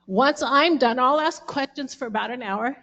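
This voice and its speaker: A woman's voice: sits high, moderate pace, fairly bright tone, muffled recording. A woman moderating a community candidates' meeting, laying out the guidelines in an organized, instructive way.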